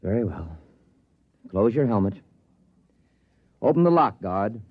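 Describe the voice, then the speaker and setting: A man's voice: fairly low pitch, big pitch swings, a little slow, heavy background noise. A man acting in an old-time radio drama as an authoritative, protective professor and father, commanding and dramatic. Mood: firm. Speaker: radio actor. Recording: dull lo-fi vintage radio recording.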